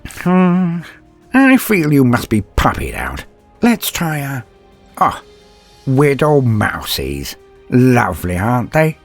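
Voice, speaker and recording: A man voicing a sardonic, unruffled inquisitor with a cruel streak, genteel and toying, in a dark sci-fi story. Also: mid-range voice, wildly swooping pitch, slow, vocal fry, soft background music, exaggerated voice. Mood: gloating, playful. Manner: breezy, genteel, toying with menace.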